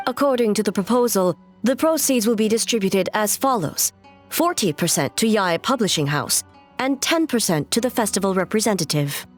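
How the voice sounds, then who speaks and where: A woman voicing a calm, authoritative and stern ruler in a video game, speaking in a formal, measured, composed way. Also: mid-range voice, soft background music, close to an everyday voice. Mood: solemn, formal.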